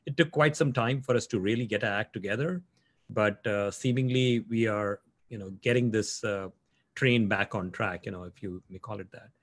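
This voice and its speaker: Low-voiced host man, dry sound. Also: normal speed, no echo. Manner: earnest, frequent "you know". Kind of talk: video call interview. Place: home office. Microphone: video call.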